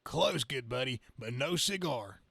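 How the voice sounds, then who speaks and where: Man putting on a folksy, creaky trucker superhero. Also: mid-range voice, animated, measured pace, sharp tone, no echo, heavily acted. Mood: jubilant, hearty. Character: folksy overweight trucker superhero, good-old-boy. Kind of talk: amateur comic-book dramatic reading.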